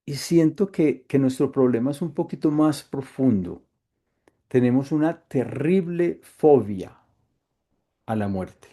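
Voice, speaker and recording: A middle-aged Colombian man with a Colombian accent, leading an online workshop in a calm, thoughtful way with frequent hesitations. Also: mid-range voice, takes it slowly. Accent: Colombian Spanish. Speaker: workshop facilitator. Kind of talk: reflective online workshop talk. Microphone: video call.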